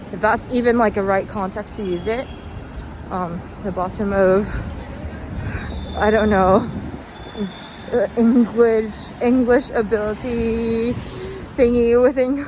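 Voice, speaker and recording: Young female vlogger, unhurried delivery, self-deprecating and tangential, recorded outside on a walk over noticeable city noise. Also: medium pitch. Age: young adult. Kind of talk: casual rambling vlog while walking. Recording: noisy outdoor recording with traffic.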